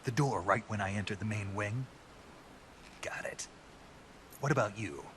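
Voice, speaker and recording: An actor voicing a gruff, middle-aged male soldier in a game cutscene, clipped and matter-of-fact. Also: on the low side, a little slow, a bit bright, a little hiss or hum. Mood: curious. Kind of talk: video game cutscene acting. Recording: music playing under the dialogue.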